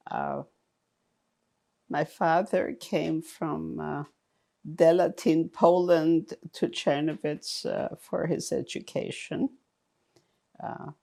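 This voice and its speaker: A woman in her eighties, born in Romania with German as her first language, giving slow, halting oral history testimony full of fillers and restarts. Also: sits low, gravelly, dark tone. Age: 80s. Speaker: interviewee.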